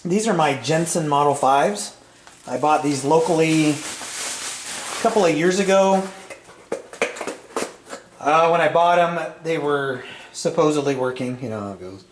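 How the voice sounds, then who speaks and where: A middle-aged man chatting casually and unscripted as a video host, in a halting, rambling way with hesitant phrasing. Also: a little high, background noise. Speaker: vintage audio repair channel host. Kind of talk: casual unscripted product chat. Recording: roomy, echoey sound.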